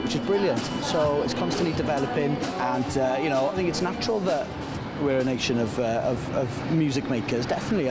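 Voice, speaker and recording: A man from Cardiff with a Welsh accent, interviewed outdoors and speaking with enthusiasm, often saying "you know.". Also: medium pitch. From Cardiff, Wales. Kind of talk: casual interview.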